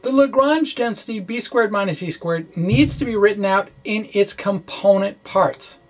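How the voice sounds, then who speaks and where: A man explaining physics in an informal walkthrough, thinking aloud in a halting way and correcting himself, with wry asides. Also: sits high. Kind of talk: informal math walkthrough, reading and ad-libbing.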